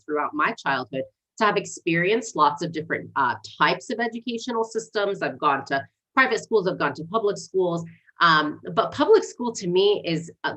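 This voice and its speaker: A woman's voice: low-pitched, sharp tone, low-quality recording. A woman in her forties who learned English as a second language, introducing herself earnestly as a candidate in a livestream interview. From born in Egypt, raised in Canada and the United States. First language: not English, learned it as a second language. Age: 40s. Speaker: city council candidate.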